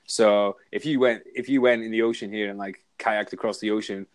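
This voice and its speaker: A Welsh man guesting on a podcast over a remote call, talking in a relaxed, self-deprecating way with halting restarts. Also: lowish voice. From Wales. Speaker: guest, soldier and author. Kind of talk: casual interview chat.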